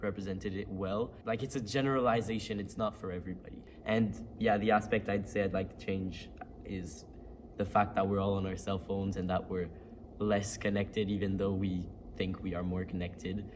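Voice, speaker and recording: A teenage boy from Canada, a young actor answering interview questions thoughtfully, with pauses and fillers. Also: deep voice, level tone, noisy background. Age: teens.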